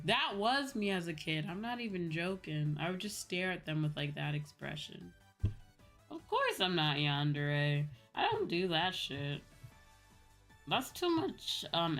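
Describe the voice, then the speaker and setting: A young adult woman streamer telling personal stories in a casual, animated, giggly chat style. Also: low-pitched. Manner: animated retelling with acted-out quotes and frequent giggles. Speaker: streamer, VTuber host. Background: background music.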